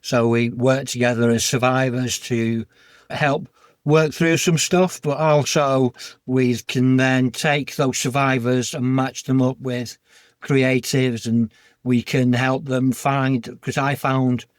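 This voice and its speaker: A man's voice: medium pitch, little pitch movement, takes it slowly, dry recording. Earnest Yorkshire man, dry close sound. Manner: earnest, heartfelt, plain-spoken. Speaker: podcast guest. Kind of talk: candid personal interview.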